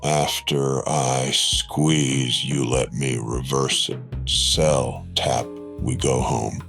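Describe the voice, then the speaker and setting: A man's voice: very deep voice, little pitch movement, very slow, gravelly, music in the background, pitched below the performer's own voice, heavily acted. A man playing a proud, menacing veteran masked wrestler in an audio drama, speaking tersely. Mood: commanding.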